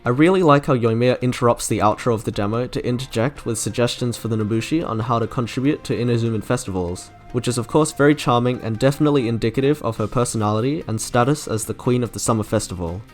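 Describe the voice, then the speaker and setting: Male video reviewer, scripted narration, mid-pitched voice, music underneath. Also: fast talker. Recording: music behind the voice.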